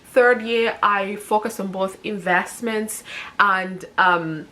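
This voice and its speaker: A woman's voice: mid-range voice, fairly even intonation, slow pace, pushed and bright. Chatty woman in her thirties, self-correcting. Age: 30s. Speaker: personal finance YouTuber. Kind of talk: casual talk to camera.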